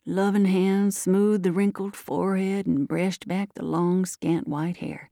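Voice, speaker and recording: A woman's voice: lowish voice, steady tone, measured pace, mellow, dry recording, clean studio sound. A woman voicing a folksy old-time rustic storyteller in country dialect, drawing out a yarn about the neighbors. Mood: solemn, mock-tragic.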